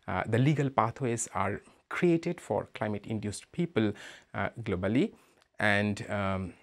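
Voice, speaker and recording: A man from Bangladesh with a Bangladeshi accent, speaking as a migrant rights advocate in an interview. He talks in a measured, hesitant way with many "uh" fillers. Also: on the low side, animated. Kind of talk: interview statement.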